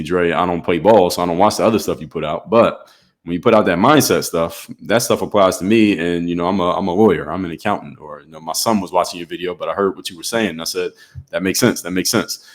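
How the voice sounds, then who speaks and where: A man in his forties from Philadelphia, a former pro basketball player, telling his story in a podcast interview in a steady, measured way. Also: low-pitched.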